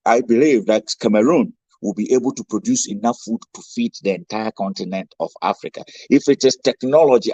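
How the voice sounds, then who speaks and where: Ghanaian guy giving a fired-up speech, mid-pitched voice, dry close sound, lots of repeating himself. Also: a little mellow, breathy edge, no echo, poor audio. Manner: rousing, rhetorical questions and repetition. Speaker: guest speaker. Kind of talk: impassioned speech.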